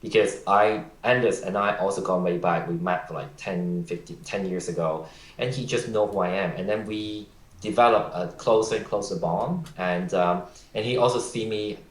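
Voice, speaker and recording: Low-voiced man in an echoey room. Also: normal speed. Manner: earnest, gives advice, interrupts playfully. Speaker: podcast guest. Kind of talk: casual conversation.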